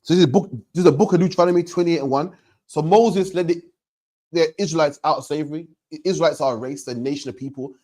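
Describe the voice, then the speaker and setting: Emphatic preaching man, slightly high voice. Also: fast, dark tone. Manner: emphatic, repeats points, ends lines with "man". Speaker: religious teacher. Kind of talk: street preaching to a questioner.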